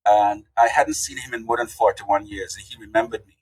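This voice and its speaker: A man's voice: fairly low pitch, fairly quick, husky, faint music behind, rough recording. An older man, telling folksy stories as a podcast guest. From Guyana. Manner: animated, folksy storyteller. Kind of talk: casual interview storytelling.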